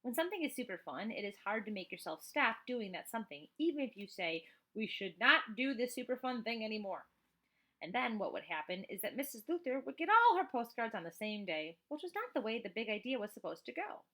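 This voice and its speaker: A woman reading a children's book aloud like a teacher, expressively, with chatty asides in an animated, emphatic manner. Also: fairly high pitch, fast talker, few pauses.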